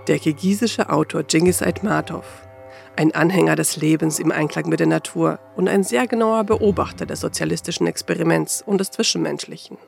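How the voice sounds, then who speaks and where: A man's voice: on the high side, brisk, gravelly, faint music behind. A man narrating a radio feature from a script.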